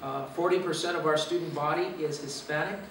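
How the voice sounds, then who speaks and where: A man in his fifties from Los Angeles, giving an earnest, reflective talk as a guest speaker with frequent "uh" pauses. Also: on the high side, steady tone, a little hiss or hum. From Los Angeles, USA. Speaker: guest speaker, university president. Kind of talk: personal talk to a church gathering. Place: meeting room or church hall. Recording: echoey room, mic far away.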